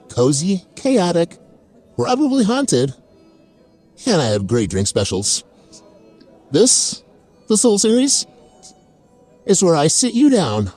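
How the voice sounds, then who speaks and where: A man's voice: a little high, a little mellow, full character voice. A man playing a chaotic, oversharing fantasy tavern keeper who tells tall tales in a theatrical, wry, self-deprecating way. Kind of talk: dramatic first-person comic storytelling.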